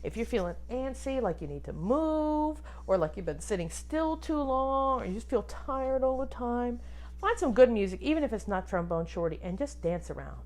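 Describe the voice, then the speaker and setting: A woman reading a children's book aloud expressively, like a teacher, pausing to instruct and put questions to young listeners. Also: a little high, sing-song, a little mellow. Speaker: music teacher reading a picture book to pupils.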